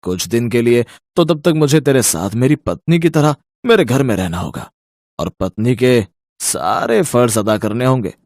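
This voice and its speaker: Cartoon villain, played by a man: lecherous rich moneylender, domineering, very animated pitch, close dry sound. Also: moderate pace, dry recording, close to an everyday voice. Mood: leering, sly. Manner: leering, domineering. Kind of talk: Hindi cartoon story acting.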